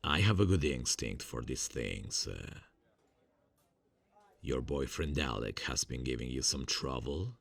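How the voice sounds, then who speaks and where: A young man playing a friendly male college student who is secretly a serial killer, speaking softly and hesitantly in an ASMR roleplay, with many fillers and quiet insinuations. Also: a bass voice, animated, unhurried, creaky, exaggerated voice. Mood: falsely friendly.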